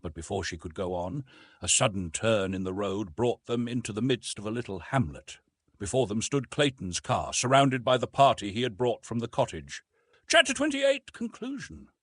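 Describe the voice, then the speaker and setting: A man's voice: fairly low pitch, very animated, moderate pace, slightly rough, no echo, clean studio sound. A man reading an adventure novel as a narrator, in a dramatic scripted style. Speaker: audiobook narrator.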